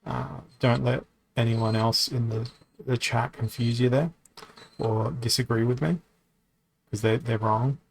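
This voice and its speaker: A man hosts a live stream of a card game, talking loosely to his audience. His voice sits at a middle pitch, and he rambles with plenty of ums and restarts while he reads out viewer questions and answers them. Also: fairly even intonation, unhurried, gravelly, long pauses. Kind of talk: casual live-stream chat.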